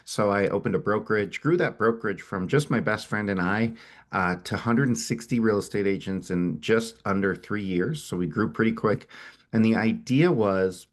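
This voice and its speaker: A middle-aged man with a head cold and a low voice presents at a webinar. He talks with energy and often doubles back on his words. Now and then he sniffles. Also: moderate pace. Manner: energetic, repeats words as he restarts. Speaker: company founder presenting a webinar.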